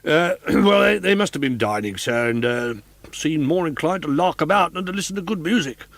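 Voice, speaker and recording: A man's voice: a little high, animated, moderate pace, gravelly, fairly bright tone, dry recording, voice pushed up. A man voicing a nervous male theatre inspector who splutters and stammers through his explanations. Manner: hesitant, stammering.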